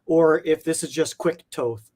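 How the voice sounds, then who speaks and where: A man's voice: fairly high pitch, unhurried, darkish tone. A man speaking casually as an interview guest, explaining things in a hesitant, circling way. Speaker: guest, comic art collector.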